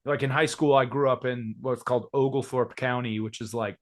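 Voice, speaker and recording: A man's voice: mid-range voice, steady tone, a little fast. A man in his thirties from Georgia in the United States, a musician talking loosely in an interview, with many fillers and restarts. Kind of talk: casual interview chat.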